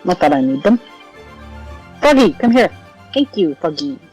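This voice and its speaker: A woman plays a video game and chats along casually. Her pitch jumps around with playful exclamations, and soft music plays behind her. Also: sing-song, normal speed, husky. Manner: playful, exclamatory, talks to herself. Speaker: gaming streamer. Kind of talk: casual game playthrough commentary.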